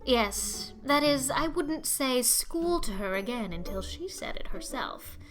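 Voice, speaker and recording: A highish, very animated middle-aged gossip acted by a woman for an audiobook. She is bossy and sure of her opinions, folksy and emphatic, ready to be scandalized. Faint music sits behind the voice. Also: measured pace, poor audio. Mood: knowing, opinionated. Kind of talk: audiobook character acting.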